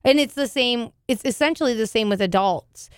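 A woman with a raspy, deep voice, chatting casually as podcast co-host, loud and quick to blurt things out. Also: no echo, studio quality. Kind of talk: casual chat. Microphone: studio mic with headphones.

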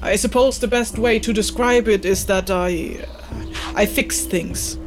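A woman's voice: mid-range voice, a little slow, over music, close to an everyday voice. A woman playing a serious, blunt young furred wanderer with a boyish air in an audio drama, matter-of-fact and a little awkward. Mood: polite, awkward.

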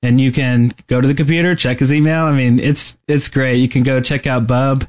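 A man co-hosting a podcast in casual chat, rambling and halting as he talks. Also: mid-range voice, fairly even intonation, darkish tone. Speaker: podcast co-host. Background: music.

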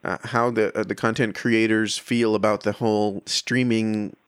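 A man interviewing casually, halting and rephrasing his questions as he goes. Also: on the low side, little pitch movement, smooth, no echo, studio quality.

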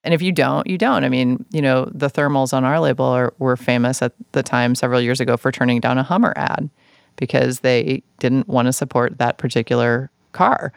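Gravelly man in a dry recording. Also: medium pitch, steady tone, fairly quick, no room sound. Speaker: interview guest from an independent record label. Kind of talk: casual conversational interview talk.

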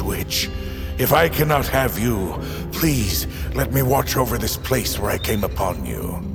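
Audio drama: world-weary older working man, fond and gruff, unhurried delivery, long reverb with music behind. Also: mid-range voice.

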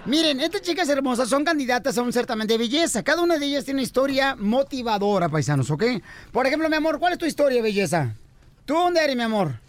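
A man's voice: very high voice, sing-song, fast talker, slight background noise. A man hosting a radio show, joking and teasing his guests in rowdy banter.

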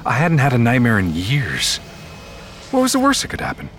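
A man's voice: mid-range voice, huge pitch swings, normal speed. An actor playing a weary, haunted man who narrates his ordeal in the first person for an audio drama. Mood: rueful. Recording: music under the voice.

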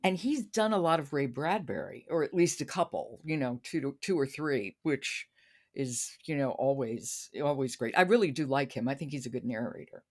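A woman's voice: a bass voice, expressive, normal speed. A woman speaks as the host of a book podcast, in a conversational style. She offers her own takes and moves the discussion along.

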